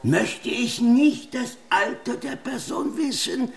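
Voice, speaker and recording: High-pitched, theatrical stage hypnotist. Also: male voice, animated, creaky, heavily acted. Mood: showy, commanding. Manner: theatrical, slow, emphatic announcing. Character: showy male stage hypnotist calling out to audience. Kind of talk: German radio drama acting.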